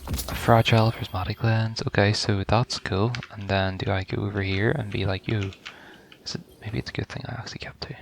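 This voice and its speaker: A man's voice: lowish voice, fairly even intonation, a little fast, vocal fry, slight background noise. A man giving casual first-reaction gameplay commentary, informal and stumbling a little as he reads game text aloud. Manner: informal, stumbles over words when reading aloud. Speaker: gaming video creator.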